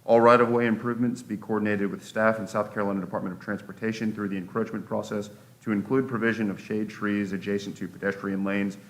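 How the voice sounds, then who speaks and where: Even-toned man reading a formal motion. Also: fairly low pitch. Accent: American. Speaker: commissioner making a motion.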